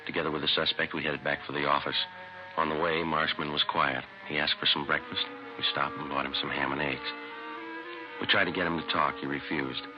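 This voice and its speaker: A man's voice: extremely low pitch, steady tone, a little fast, muffled recording, over music. A man playing a terse, methodical police detective in a clipped, matter-of-fact 1950s radio drama style on a vintage recording. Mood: deadpan, official. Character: terse, methodical male police detective narrating his case. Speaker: detective narrator.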